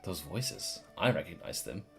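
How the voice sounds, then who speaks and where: A man streaming a game with casual, joking, excitable reactions and plenty of swearing. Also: low voice, normal speed, creaky, over music. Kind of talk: casual reaction commentary over gameplay.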